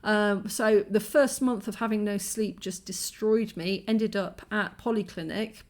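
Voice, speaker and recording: A woman vlogging to camera, exhausted from lack of sleep, her voice croaky and strained as she rambles in frustration with many ums and restarts. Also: medium pitch, fairly even intonation, measured pace. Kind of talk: candid talking-head vlog.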